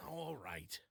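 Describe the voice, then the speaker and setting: A man voicing a nervous, evasive townsman in a video game, hesitant and halting. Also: mid-range voice, creaky, bright, forceful tone, a little hiss or hum, heavily acted. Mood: reluctant. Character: nervous, evasive male townsman, reluctant guest. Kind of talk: game character acting.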